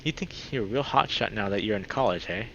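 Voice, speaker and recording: A man's voice: fairly low pitch, lively intonation, fast talker, fairly bright tone. A man voicing a teasing young male wolf, muffled audio. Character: big confident young male wolf, teasing old friend. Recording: dull lo-fi mic with clear background noise.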